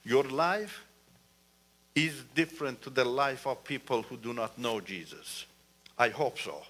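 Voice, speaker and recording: Bright-voiced man. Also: medium pitch, animated, a little slow, breathy edge. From Iran. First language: Turkish. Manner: earnest, repeats "you know", rises emphatically. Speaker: guest speaker. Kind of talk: testimony talk in church.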